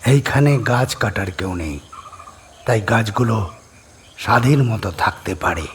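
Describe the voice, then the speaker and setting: A man doing a soft-spoken, secretive island farmer. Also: expressive, a little hiss or hum. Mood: quiet, guarded. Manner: low, soft-spoken, slow and measured. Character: lonely middle-aged male island farmer, quiet and secretive.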